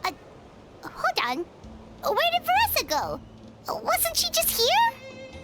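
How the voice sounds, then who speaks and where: A woman voicing a tiny, chirpy, food-loving fairy companion in a video game, with a squeaky childlike voice and exclamatory manner. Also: wildly swooping pitch, slow pace, over loud music, exaggerated voice. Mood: curious, chirpy. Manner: refers to self in third person, exclamatory.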